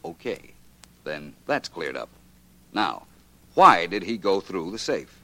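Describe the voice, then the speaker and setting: A low-pitched American detective, shrewd and sure of himself, with lively swings of intonation. He lays things out deliberately and wryly in classic 1940s radio drama style. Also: vocal fry, no room sound, heavily acted. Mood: confident explaining. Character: shrewd, self-assured male detective. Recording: vintage 1940s radio recording.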